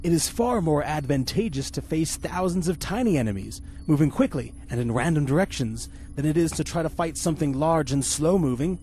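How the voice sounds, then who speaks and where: A man's voice: on the high side, expressive, brisk, few pauses, mellow, natural voice. A man playing a pompous, incompetent, self-admiring spaceship captain in the style of a 1950s radio serial. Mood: condescending. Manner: pompous, grandiose.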